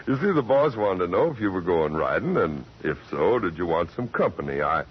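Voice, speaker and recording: An actor playing a friendly, folksy ranch hand tending bar, easygoing and courteous, in 1940s radio acting. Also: male voice, sits low, very animated, normal speed. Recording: dull old radio recording with hiss.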